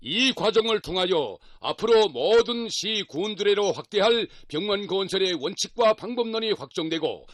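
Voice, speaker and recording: Male announcer, North Korean accent, high voice, dry sound; solemn, declamatory delivery of scripted state news. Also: a bit bright, dry recording.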